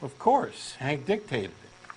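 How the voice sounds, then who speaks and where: Brief: Texan man, earnest and pushy, bouncy fairly high delivery, faint hiss behind. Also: huge pitch swings, slow, darkish tone, rough recording. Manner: eager, insistent true believer, earnest and pushy. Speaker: co-host doing a comic dramatic reading. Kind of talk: comic scripted dialogue reading.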